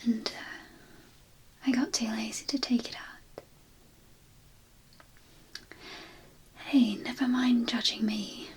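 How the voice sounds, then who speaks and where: Cheeky brain-eating zombie doctor, played by a woman: highish, slow whisper, rambling and defensive, for comic horror ASMR. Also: fairly even intonation. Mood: defensive, haughty. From Australia. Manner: hesitant, rambling, defensive asides. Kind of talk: ASMR roleplay.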